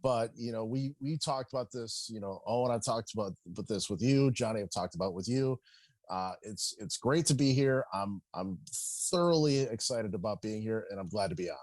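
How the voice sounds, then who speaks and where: A man's voice: lowish voice, fairly quick, a little mellow, dry recording. A middle-aged man chatting casually as a podcast guest, bantering and peppering his talk with "you know". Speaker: guest, baseball public address announcer.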